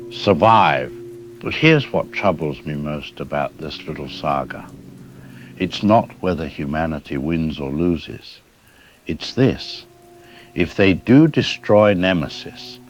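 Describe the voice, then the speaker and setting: A man playing a sardonic, urbane host of dark tales, narrating an audio drama in a suave, ominous, musing way. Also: a bass voice, exaggerated voice.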